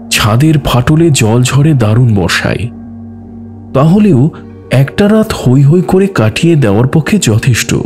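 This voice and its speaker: A man narrating a scripted audio story in a measured, expressive, dramatic way. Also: medium pitch. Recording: music behind the voice. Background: background music.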